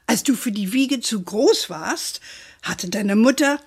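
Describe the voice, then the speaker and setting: A woman's voice: fairly high pitch, animated, measured pace, husky, exaggerated voice. A woman playing a doting, affectionate old great-grandmother in a German children's radio play. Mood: fond. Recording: music under the voice.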